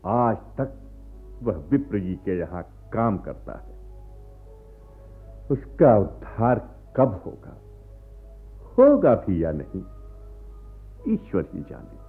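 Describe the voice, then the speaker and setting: A solemn male storyteller narrates a tragic radio play with ironic gravity. His lowish voice moves slowly, with hugely animated pitch. The sound is muffled and narrow. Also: full character voice. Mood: solemn irony. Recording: muffled radio archive recording with music.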